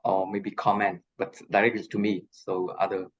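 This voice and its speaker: A man who is not a native English speaker, giving a lecture and answering questions on a video call, halting with many fillers and restarts. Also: on the low side, little pitch movement, dull audio. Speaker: guest lecturer. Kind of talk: online lecture Q&A.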